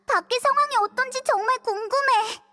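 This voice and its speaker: Casting: pint-sized fairy sidekick for a game, very shrill and bright, cutesy chatterbox. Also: female voice, brisk. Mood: worried, pitying. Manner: cute, squeaky, expressive. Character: tiny chatty childlike fairy companion. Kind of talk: cartoonish game voice acting.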